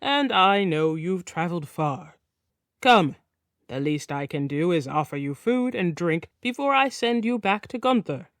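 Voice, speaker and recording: A woman's voice: on the low side, animated, unhurried, no echo. A genial Scandinavian-sounding king of an audiobook saga, put on by a woman narrator. He is chatty and teasing with a casual menace and a Nordic accent. The sound is dry and close. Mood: gracious.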